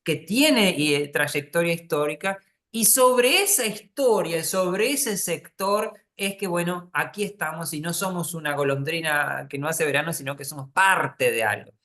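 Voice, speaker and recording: Uruguayan panel host, chatty, lots of restarts, mid pitch. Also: measured pace, sharp tone. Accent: Uruguayan Spanish. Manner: conversational, many fillers and restarts. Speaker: moderator. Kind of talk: webinar panel moderation.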